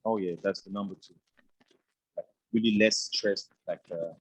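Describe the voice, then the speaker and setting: A Swiss-raised man gives casual interview answers in French-accented English. His voice is on the low side and closely recorded with a dry sound. He talks haltingly, padding phrases with frequent tags. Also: fairly even intonation, breathy edge, no room sound, poor audio. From Switzerland. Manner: halting, repeats "you know" constantly. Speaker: interview guest, businessman.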